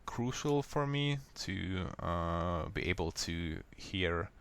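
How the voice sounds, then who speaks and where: A man with a Hungarian accent, a native Hungarian speaker, calmly walking viewers step by step through a software fix in a screen recording. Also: low voice, clear, resonant tone. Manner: unhurried, plain, step-by-step explaining. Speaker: software tutorial maker. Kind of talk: screen-recorded how-to walkthrough.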